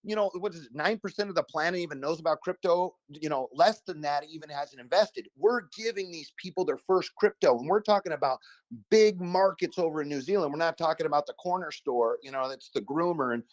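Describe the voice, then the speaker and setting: A man's voice: on the high side, fairly quick, no echo. A man, a guest investor giving a casual, emphatic and folksy endorsement over a video call.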